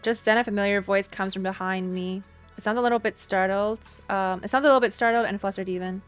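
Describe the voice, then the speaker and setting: A woman's voice: mid-range voice, steady tone, quick pace, clean tone. A woman streamer giving casual reaction commentary on a game and reading story text aloud. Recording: game music behind the voice.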